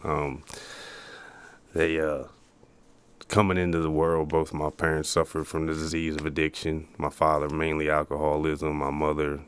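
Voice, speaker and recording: A man in his early forties from the eastern United States, telling his own story as an interview guest in a halting, plain-spoken way. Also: very deep voice, completely flat delivery, clean tone. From Baltimore area, United States. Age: early 40s. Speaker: podcast guest, peer support specialist.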